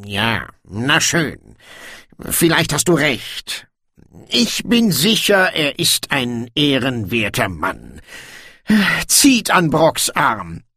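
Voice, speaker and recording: A man voicing a proud, sly, sardonic dwarf king in an audiobook, with a slow, knowing delivery. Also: mid-range voice, very animated, pushed and bright, breathy edge, no echo, clean studio sound, heavily acted. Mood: brisk, dismissive.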